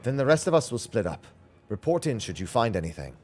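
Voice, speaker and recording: A man's voice: mid-range voice, sing-song, fast talker, darkish tone, lightly acted. A man reading out the lines of earnest, formal fantasy game adventurers in archaic phrasing. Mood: grave, resolute. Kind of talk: reading game dialogue aloud.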